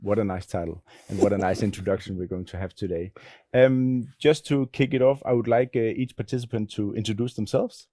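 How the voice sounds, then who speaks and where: A man's voice: fairly low pitch, a little fast, mellow, no room sound, clean studio sound. A man hosting a fashion panel, friendly and hesitant, with many fillers. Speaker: panel moderator, magazine editor. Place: studio panel at a fashion event.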